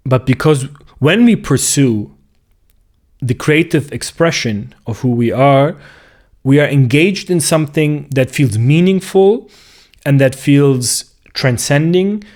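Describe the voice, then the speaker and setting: A man, a clinical psychologist talking in a slow, reflective monologue and searching for words as he goes. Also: mid-range voice, darkish tone. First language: German. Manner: thoughtful, searching for words with restarts.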